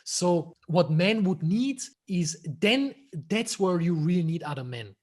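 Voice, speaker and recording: A man's voice: high voice, lively intonation, a little slow, a little mellow. A man from Austria, a guest men's coach, talking frankly and swearing in a casual podcast interview, often restarting his sentences.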